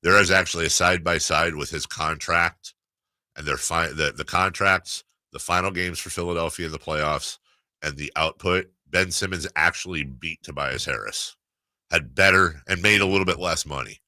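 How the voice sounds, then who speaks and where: A man with a deep, hard-edged bright voice recorded close and dry. He hosts sports talk casually, with wry jokes and halting restarts. The delivery is conversational and loose. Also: no echo. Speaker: sports radio host.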